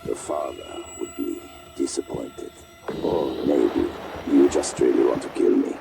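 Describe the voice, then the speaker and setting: A man playing a menacing, sardonic mercenary commander in a video game, with a gravelly voice and a deliberate delivery. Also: a bass voice, lively intonation, very noisy, heavily acted. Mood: menacing, taunting. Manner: slow, deliberate, dryly sardonic. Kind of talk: dramatic video game acting.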